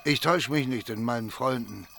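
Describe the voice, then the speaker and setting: An actor playing an assured, quietly authoritative mafia boss in hiding in a radio crime drama. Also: male voice, medium pitch, a little fast, creaky, soft background music. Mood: assured, genial. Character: commanding mafia boss in hiding, seeking shelter.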